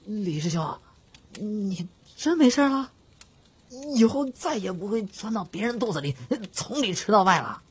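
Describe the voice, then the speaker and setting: A man's voice: sits high, lively intonation, measured pace, husky, voice pushed up, exaggerated voice. A man voicing a naive, blurting young disciple with a whiny, stammering manner in an audiobook. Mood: naive concern. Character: dim, blurting young male disciple, sheepish and eager.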